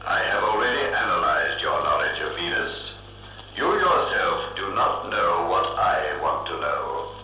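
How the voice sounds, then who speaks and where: An actor playing a cold, imperious giant computer in a vintage science-fiction radio drama, speaking in a formal, emotionless, deliberate way. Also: male voice, deep voice, sing-song, over music. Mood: commanding. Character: cold, imperious giant thinking machine ruling Mars. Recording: echoing old reel-to-reel radio recording.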